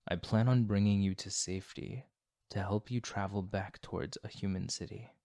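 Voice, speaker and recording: Casting: male actor for courteous, tormented vampire in ASMR roleplay; gravelly, close and dry, formal, soft-spoken. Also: lowish voice, steady tone, moderate pace, no room sound, close to an everyday voice. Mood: weary, protective.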